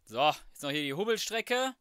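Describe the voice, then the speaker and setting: Male German gamer, slightly high voice, slangy and crude let's play host, dry close sound. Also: sing-song, normal speed, a bit bright, clear, resonant tone, no echo. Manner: slangy, crude, jokey muttering to himself. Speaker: gaming YouTuber, host. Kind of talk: casual let's play commentary.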